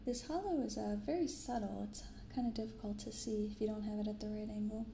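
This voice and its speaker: Soft-spoken, creaky-voiced young woman. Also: medium pitch, fairly even intonation, echoey room, slight background noise. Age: young adult. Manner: unhurried, hushed commentary and reading from cards. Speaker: host of a card-opening channel. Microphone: binaural microphone.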